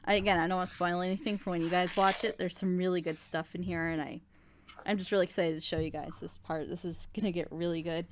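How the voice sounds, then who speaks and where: A woman with an excitable, giggly way of talking, chatting casually as host of a group reaction session. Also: fairly low pitch, fast, a little hiss or hum. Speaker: host, co-director of a fan audio drama group.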